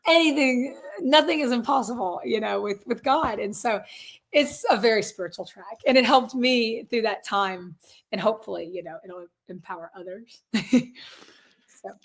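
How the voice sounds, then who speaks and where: A woman in her twenties with a raspy, hoarse voice, chatting casually as a guest musician from a closet at her grandparents' house. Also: fairly high pitch, normal speed. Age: 20s. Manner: upbeat, laughs often, many fillers. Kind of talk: casual interview chat.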